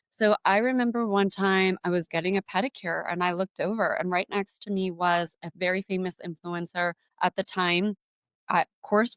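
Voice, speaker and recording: Smooth-voiced young woman entrepreneur. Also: mid-range voice, fairly bright tone, dry recording. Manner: candid storyteller, frequent "like" fillers. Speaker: guest entrepreneur. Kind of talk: interview conversation.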